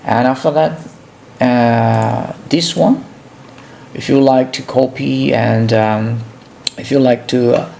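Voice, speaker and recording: A man from Indonesia with an Indonesian accent narrates an informal software tutorial as host, in a hesitant, halting way, often repeating himself. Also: mid-range voice, vocal fry, a little hiss or hum.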